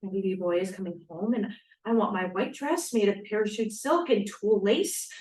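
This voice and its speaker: A woman's voice: mid-range voice, normal speed, darkish tone, lightly acted. Earnest young bride-to-be played by a woman, echoey room. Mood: defiant. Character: earnest young 1940s bride-to-be longing for home and family. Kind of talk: script read-through acting. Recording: distant, echoey room microphone.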